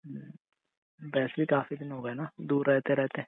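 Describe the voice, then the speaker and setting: A man's voice: medium pitch, little pitch movement, moderate pace, gravelly, breathy edge, no echo. A man playing a teasing young husband in intimate casual banter, coaxing and insisting playfully. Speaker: husband in a couple's call. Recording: tinny narrow phone-call sound.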